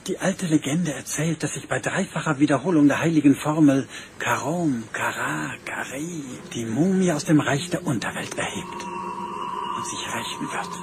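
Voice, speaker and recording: A man voicing a calm, authoritative expedition leader in a radio play, with a reassuring manner. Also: fairly high pitch, normal speed, noisy background, lightly acted. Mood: solemn. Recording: echoey room with music.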